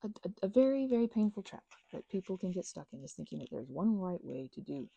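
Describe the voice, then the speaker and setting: A woman's voice: fairly low pitch, sing-song, quick pace, creaky, dark tone, breathy edge, no echo, dull audio. A woman chatting informally to her listeners in a rambling, meandering way, drifting into asides and offering casual encouragement. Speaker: ASMR and audio channel creator.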